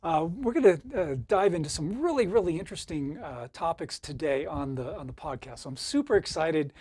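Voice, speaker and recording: A man hosting a podcast in a casual interview style, speaking haltingly and often repeating words. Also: fairly high pitch, animated, darkish tone, breathy edge. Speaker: podcast host.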